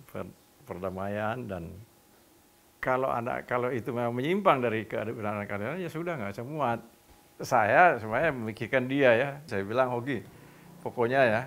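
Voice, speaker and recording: An older man, a former newspaperman, recalling the past in an interview, speaking deliberately with pauses and acting out quoted dialogue. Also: medium pitch, fairly bright tone, a little hiss or hum. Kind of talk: reminiscing interview.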